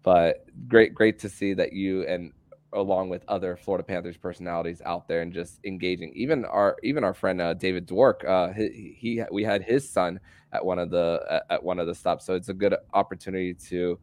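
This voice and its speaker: A man hosting a casual sports podcast, speaking haltingly and often repeating words as he restarts phrases. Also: sits low, fairly even intonation, darkish tone, dry recording. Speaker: podcast host interviewing a guest.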